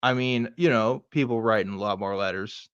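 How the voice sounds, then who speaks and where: A man's voice: fairly low pitch, normal speed, fairly bright tone, clear, resonant tone, dry recording, dull audio. A middle-aged American man hosting a casual show, reading aloud and riffing with sardonic, profane asides. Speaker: podcast host.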